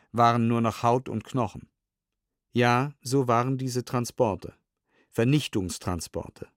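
A man reading a documentary text in a literary style, with measured, precise enunciation. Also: medium pitch, no room sound, clean studio sound. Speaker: reader of testimony. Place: dry studio.

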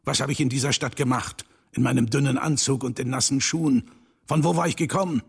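A man playing an enigmatic, commanding older rich man in a dubbed film drama, speaking deliberately and theatrically with dramatic pauses and hushed drops. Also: mid-range voice, fairly even intonation. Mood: brooding, intense. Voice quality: gravelly, husky.

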